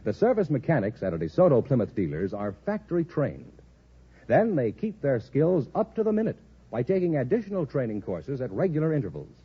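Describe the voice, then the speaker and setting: A man's voice: medium pitch, breathy edge. An American man announcing formally in the style of 1950s radio advertising, enunciating with care. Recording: dull vintage radio recording.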